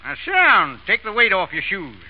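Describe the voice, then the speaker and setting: Casting: loud-hearted American frontier husband, high and swooping voice, folksy, old radio comedy. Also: male voice, wildly swooping pitch, normal speed, exaggerated voice. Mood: insistent, friendly. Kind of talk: 1930s-40s radio comedy acting. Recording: dull vintage radio broadcast.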